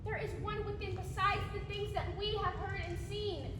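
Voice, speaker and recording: A woman's voice: fairly even intonation, moderate pace. A woman acting an anxious, pleading Roman in a Shakespearean tragedy on stage, dramatic and imploring. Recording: echoey hall from far off, rumbly noise.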